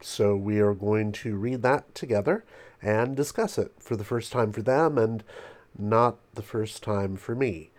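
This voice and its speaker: A man's voice: on the low side, unhurried, a little mellow. Congested man hosting a podcast. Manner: dry self-mocking humor. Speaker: podcast host. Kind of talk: casual podcast chat.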